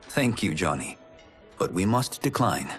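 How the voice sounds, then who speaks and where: A man's voice: low voice, sing-song, unhurried, faint music behind. An actor playing an earnest, polite male monk. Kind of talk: video game cutscene acting.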